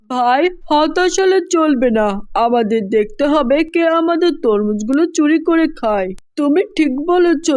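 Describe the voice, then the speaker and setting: Village farmer for kids' cartoon, played by a woman. High-pitched, emphatic, theatrical. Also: darkish tone. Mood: determined. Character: worried, scheming village watermelon farmers. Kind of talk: children's cartoon dialogue.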